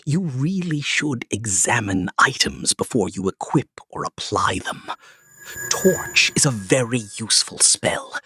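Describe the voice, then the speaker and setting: A tipsy old-hand male guide acted out by a narrator who explains things slowly and patiently. The voice is husky and slightly slurred, as heard in an animated fantasy recap. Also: mid-range voice, no echo, studio quality, soft background music, exaggerated voice. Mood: patient advising. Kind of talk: animated story dialogue.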